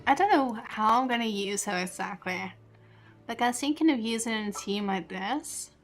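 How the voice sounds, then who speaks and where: A woman's voice: a little high, expressive, normal speed, faint music behind. A woman streaming a game, chatting casually and thinking aloud in an informal way. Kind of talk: casual live gaming stream commentary.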